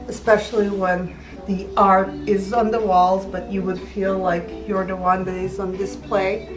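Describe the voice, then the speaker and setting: A woman from Serbia, an artist and gallery owner answering interview questions, hesitant and full of ums while searching for words. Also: mid-range voice. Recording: roomy, distant mic with music behind.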